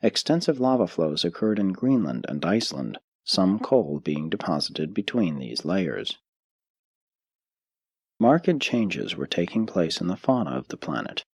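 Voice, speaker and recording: A man reading a book aloud as an audiobook narrator, in a measured, steady way with pauses between listed items. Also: deep voice, slightly rough, dry recording, clean studio sound.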